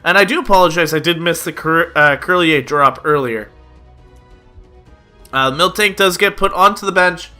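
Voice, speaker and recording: A man's voice: on the high side, moderate pace, sharp tone. A young man giving chatty play-by-play commentary on a card game, slightly nasal from a cold, with lots of "uh" fillers. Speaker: gaming YouTuber. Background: background music.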